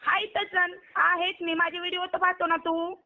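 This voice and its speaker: A woman's voice: very high-pitched, flat delivery, moderate pace. A woman argues on a phone call. She is scolding and confrontational, pressing her questions again and again. The recording is dull and lo-fi, as from a recorded call. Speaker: online video performer receiving a prank call. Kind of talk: heated phone argument.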